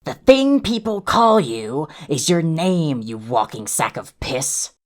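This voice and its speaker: A cranky male alien troll, acted by a woman in an audio drama, sneering and insulting everyone. The voice sits extremely low and swings widely as the sarcastic rant goes on. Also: big pitch swings, slow, heavily acted. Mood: irritated, scornful.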